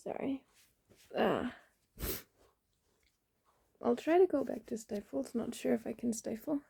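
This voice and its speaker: Woman, sniffly vlogger, very husky and gravelly, breathy asides into a phone. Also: fairly high pitch, a little slow, mellow. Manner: breathy, sighing, muttering between sneezes. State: sniffly, stuffed-up nose. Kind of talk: casual self-recorded vlog.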